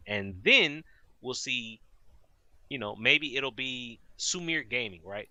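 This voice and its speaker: A man co-hosting an esports show, talking in an enthusiastic, animated way with lots of fillers. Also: medium pitch, no echo. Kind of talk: casual esports recap chat.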